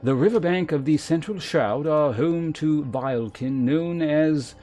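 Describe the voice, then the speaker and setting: A man voicing a stern, demanding lancer guildmaster from a fantasy game, speaking in a formal, measured, commanding manner. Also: fairly high pitch, dark tone, faint music behind, pitched above the performer's own voice, close to an everyday voice. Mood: grave, instructive. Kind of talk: voiced fantasy game dialogue.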